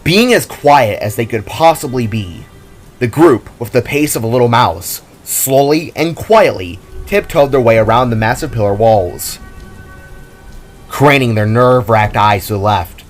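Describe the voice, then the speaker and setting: A man's voice: mid-range voice, expressive, a little slow, faint music behind. A man reading scripted, dramatic fantasy narration as a storyteller, with rain-like ambience behind him.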